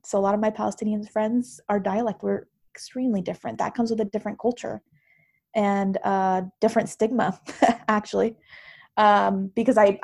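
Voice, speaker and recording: A woman's voice: medium pitch, gravelly, darkish tone. A woman who is a counselor and university professor, explaining at length in a thoughtful, teacherly way during a casual podcast discussion.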